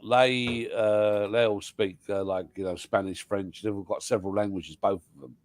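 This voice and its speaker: A man's voice: fairly low pitch, fairly even intonation, moderate pace, dry recording. A man hosting a live panel chat, talking casually with lots of restarts and repeated yeahs. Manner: rambling, many restarts and yeahs. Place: home in Thailand.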